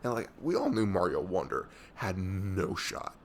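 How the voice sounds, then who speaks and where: A man's voice: deep voice, wildly swooping pitch, unhurried, full character voice. A man playing a young, affectionate, nerdy best friend in an intimate ASMR roleplay, rambling informally with hesitant, self-deprecating asides. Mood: disappointed, exasperated.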